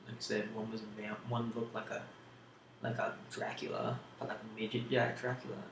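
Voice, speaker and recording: A man talks through questions in a casual, rambling ASMR style. His voice stays fairly flat and is thick with vocal fry. The recording has a roomy echo and clear background noise. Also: lowish voice, brisk. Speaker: video creator answering tag questions.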